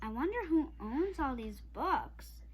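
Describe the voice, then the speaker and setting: A woman's voice: high voice, sing-song, slow, full character voice. A woman voicing a cautious, curious young boy from a storybook, in an earnest way.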